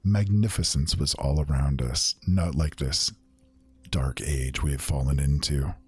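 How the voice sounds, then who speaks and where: A man voicing a sorrowful, lovelorn male traveler who speaks slowly in mournful, old-fashioned phrasing. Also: a bass voice, fairly even intonation, breathy edge, no echo, voice pushed down, exaggerated voice. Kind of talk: storytelling.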